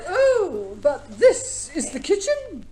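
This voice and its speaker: Prim, high-voiced genteel spinster. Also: female voice, wildly swooping pitch, takes it slowly, crowd noise, exaggerated voice. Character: genteel, businesslike spinster near sixty, mournfully proper. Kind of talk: 1950s radio play acting.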